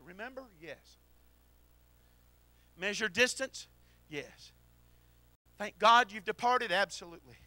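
A middle-aged man preaching a sermon into a handheld mic in a church, swinging from shouted exhortation to quiet storytelling. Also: extremely high pitch, no room sound. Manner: preaches while pacing the platform. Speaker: pastor. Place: church sanctuary.

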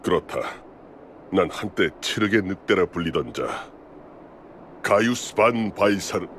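A man playing a stern, middle-aged former imperial legion commander in a game cutscene, speaking in a grave, formal, measured way. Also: deep voice, a little hiss or hum. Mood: solemn. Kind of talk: scripted game cutscene acting.

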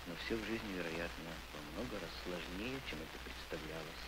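A man's voice: deep voice, a little fast. A man in his forties talking earnestly in a studio interview, recorded on old film. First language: Russian. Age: 40s. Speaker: interviewee, Soviet defector writer. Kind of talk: interview in Russian. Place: television studio. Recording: 1960s film with clear hiss.

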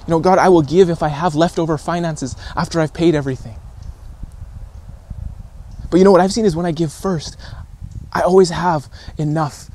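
A man in his thirties preaches informally to camera in a voice a little higher than average. He is earnest and deliberate, pausing often and repeating phrases for emphasis. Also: steady tone, a little mellow. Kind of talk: informal recorded sermon to camera. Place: outdoors on a rocky shore by calm water.

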